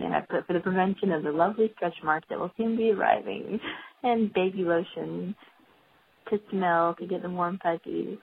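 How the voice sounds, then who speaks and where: Gravelly woman caller on a phone line. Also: lowish voice. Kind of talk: phone-in message.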